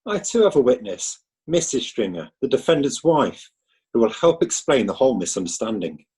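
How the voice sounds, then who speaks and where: A smooth-talking defence lawyer, played by a man in a radio play, pleading with rhetorical flair. His voice is very husky and mellow, rising and falling with animated pitch. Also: moderate pace. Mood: persuasive. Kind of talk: radio drama acting.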